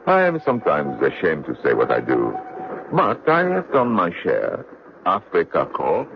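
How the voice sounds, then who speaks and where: A man's voice: deep voice, huge pitch swings, moderate pace, exaggerated voice. An actor playing an affable, talkative traveling salesman and war veteran in an old radio drama, polite and a little hesitant. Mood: chatty, guarded. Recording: muffled radio drama recording with noise.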